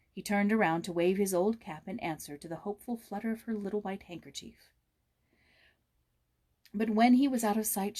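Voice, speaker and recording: Expressive woman reading a children's story. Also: on the low side. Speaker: audiobook narrator.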